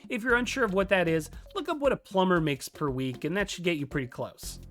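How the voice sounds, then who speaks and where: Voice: mid-range voice, lively intonation, a little fast, fairly bright tone. A presenter narrating a scripted tutorial in a conversational, lightly playful way. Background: music.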